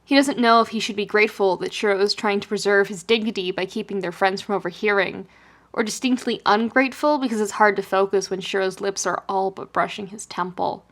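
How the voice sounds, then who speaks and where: A woman reading fiction aloud as a podfic narrator, in an expressive scripted storytelling style. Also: medium pitch, brisk.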